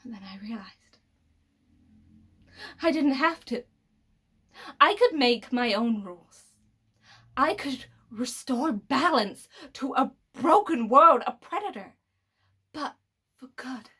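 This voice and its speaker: Woman acting a brooding, high-voiced psychic killer. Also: lively intonation, sharp tone, exaggerated voice. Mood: awed, resolute. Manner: slow dramatic pauses, sinking into breathy whispers. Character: brooding, embittered, coldly superior killer with psychic powers. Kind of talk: dramatic monologue performance.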